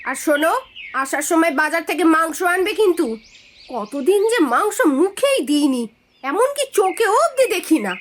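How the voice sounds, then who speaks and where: A woman voicing a nagging, sharp-tongued village housewife in a cartoon story, complaining and sarcastic. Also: extremely high pitch, lively intonation, moderate pace, a little hiss or hum. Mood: scolding, sarcastic. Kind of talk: Bengali cartoon story voice acting.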